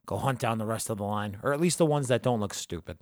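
A man hosting a podcast, talking casually in a relaxed, rambling way with lots of fillers and restarts. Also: lowish voice, quick pace, dry recording, studio quality. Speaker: podcast host. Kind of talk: casual chat.

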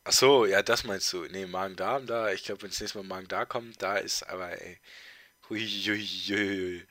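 A man's voice: sits low, steady tone, normal speed, fairly bright tone, no echo. A teenage boy co-hosting a casual podcast chat, congested with a heavy cold, rambling with lots of fillers and restarts. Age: teens. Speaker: podcast co-host.

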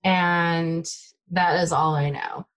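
A woman's voice: fairly low pitch, steady tone, slow pace. A woman from the Bay Area, a native Taiwanese speaker, chatting enthusiastically as a podcast guest with lots of "like" and "you know". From United States, Bay Area. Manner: enthusiastic, talks with many fillers like "like" and "you know". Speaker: podcast guest, tech consultant. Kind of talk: casual interview chat.

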